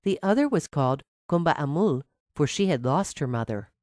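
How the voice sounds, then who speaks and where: A woman's voice: low voice, sing-song, normal speed, a little mellow, no room sound, clean studio sound. A woman narrating a scripted fairy tale for children as a storyteller.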